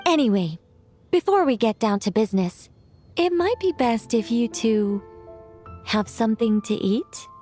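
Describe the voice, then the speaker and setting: A composed, hospitable witch with a high voice. She speaks in a polite, precise, slightly prim way, as in a video game, over faint music. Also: expressive, a little slow, dark tone. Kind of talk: video game cutscene acting.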